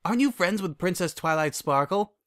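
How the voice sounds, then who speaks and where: A man's voice: high voice, expressive, a little fast, fairly bright tone, pitched above the performer's own voice. A man voicing a nervous, reluctant male unicorn pony with a hesitant, anxious manner in a story reading.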